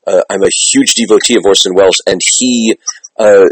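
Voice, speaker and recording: A man's voice: fairly low pitch, fairly even intonation, a little fast, slightly rough, dry recording, poor audio. A middle-aged or older man hosting an interview, speaking emphatically and conversationally like a teacher, repeating phrases for weight. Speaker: interviewer, communications and theatre teacher.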